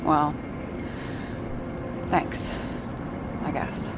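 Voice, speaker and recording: An actress playing a blunt, troubled woman investigator in a dystopian noir video game, direct, questioning and sharp-tongued. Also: extremely slow, over loud music. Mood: subdued. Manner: direct, questioning, foul-mouthed. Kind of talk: cinematic video game dialogue.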